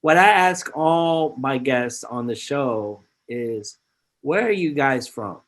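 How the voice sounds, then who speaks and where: A man's voice: medium pitch, lively intonation, slow pace, a bit bright. A man hosting a casual interview over a video call, greeting guests with hyped slang. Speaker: host.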